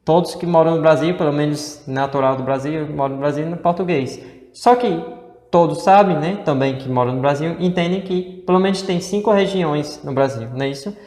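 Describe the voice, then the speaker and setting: Male co-host, Northeastern Brazilian accent, rambling explainer, slightly high voice, recorded in a reverberant room. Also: brisk, darkish tone. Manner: rambling, many fillers and rhetorical questions. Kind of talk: casual explanatory chat. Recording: echoey room with long reverb.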